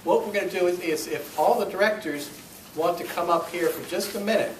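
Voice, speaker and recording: A man speaking as club president, giving an informal welcome and announcements in a halting, rambling, self-correcting way. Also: sits high. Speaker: club president hosting a meeting. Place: meeting room, recorded from a distance. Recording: roomy, distant mic, faint noise.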